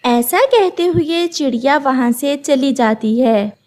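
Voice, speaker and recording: A woman's voice: sits high, lively intonation, slow pace, a little mellow. A woman narrating a scripted children's cartoon story.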